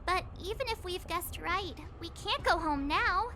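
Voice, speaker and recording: A woman's voice: extremely high pitch, expressive, unhurried, sharp tone, heavily acted. An actress playing a perky, spirited girl adventurer in video game dialogue, with a bubbly, exclamatory delivery. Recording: game music behind.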